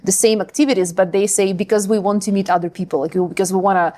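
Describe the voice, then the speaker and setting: A woman's voice: medium pitch, fairly even intonation, quick pace, darkish tone. A woman from Ukraine, a language school founder, talking casually as a podcast guest, full of fillers and tag questions. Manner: chatty, many fillers and "right?". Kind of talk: podcast interview.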